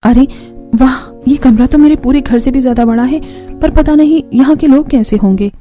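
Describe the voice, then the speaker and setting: A woman voicing a nervous young new bride from a family cartoon. Her voice sits high and soft-edged, worried and apologetic, with music playing behind it. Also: moderate pace, close to an everyday voice. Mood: amazed, wary. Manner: apologetic, worried, wide-eyed. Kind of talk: cartoon family story dialogue.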